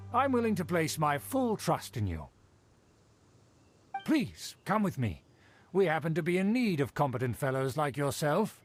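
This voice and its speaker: A haughty, pompous nobleman for a video game, drawing out each formal phrase at a slow, stately pace. His voice sits a little high and swoops with lofty emphasis. Faint game music plays under him. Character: pompous middle-aged noble man, haughty and proud.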